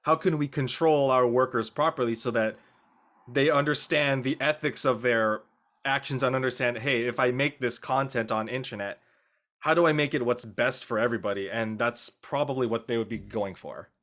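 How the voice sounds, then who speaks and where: Earnest man with a medium-pitched voice. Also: normal speed, fairly bright tone. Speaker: actor, panel guest. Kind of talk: casual panel discussion.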